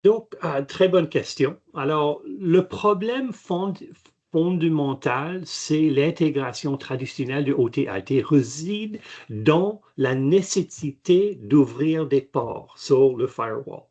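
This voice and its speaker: A man, a native French speaker, answering technical questions on a webinar in a halting way with many restarts. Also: fairly high pitch, lively intonation, darkish tone, lo-fi sound. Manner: halting, frequent restarts and fillers. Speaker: company chairman, guest expert. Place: video call.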